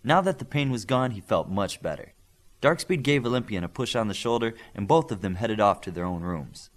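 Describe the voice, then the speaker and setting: A man's voice: on the low side, brisk. A man reading a scripted fiction story as an audiobook narrator, acting out the dialogue as he goes. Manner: animated reading that acts out dialogue.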